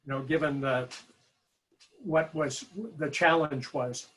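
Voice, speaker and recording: A man with a somewhat high voice joins a panel discussion. He talks informally, in short conversational remarks and questions. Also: fairly even intonation, takes it slowly, fairly bright tone, rough recording. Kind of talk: casual interjected comments.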